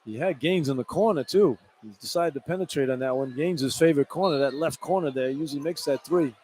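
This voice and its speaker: A man's voice: a little high, fairly quick, a little mellow. A man giving live basketball color commentary as an analyst, conversational and opinionated. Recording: poor radio feed, clear background noise.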